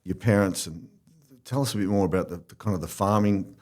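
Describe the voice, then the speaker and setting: A man's voice: sits low, brisk, darkish tone. A man hosts an interview. His questions ramble, and he restarts them often. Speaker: podcast host.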